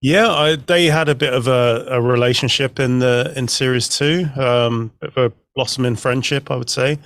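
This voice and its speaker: A man's voice: medium pitch, moderate pace, a bit bright, dry recording, studio quality. A young man chatting casually as a podcast panelist, sharing thoughts and small anecdotes.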